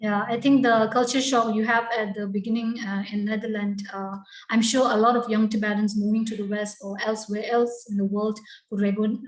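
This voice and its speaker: A woman's voice: mid-range voice, roomy sound, rough recording. A woman hosting an interview, asking long, rambling questions with many fillers. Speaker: interview host.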